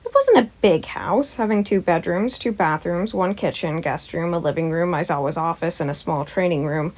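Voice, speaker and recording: A woman's voice: lowish voice, moderate pace, darkish tone. A woman who hosts a fan-fiction audio channel, reading stories and talking to listeners. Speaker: podfic reader and channel host. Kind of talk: scripted story narration and casual asides to listeners.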